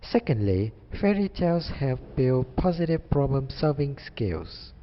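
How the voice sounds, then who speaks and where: A man's voice: medium pitch, big pitch swings, measured pace. A young man delivering a scripted student presentation. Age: young adult. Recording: dull audio with faint background noise.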